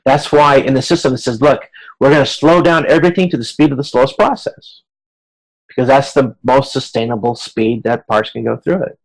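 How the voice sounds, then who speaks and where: A man teaches a class over a video conference in an informal, conversational style. He explains in plain colloquial terms, slipping into quoted hypothetical remarks to illustrate. His voice sits at an ordinary male pitch. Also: fairly quick, vocal fry, rough recording. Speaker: lecturer in supply chain management.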